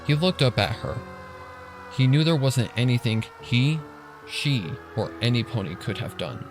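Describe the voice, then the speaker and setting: A man's voice: mid-range voice, expressive, a little slow. A man reading a scripted story as a dramatic narrator. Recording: narration over music and sirens.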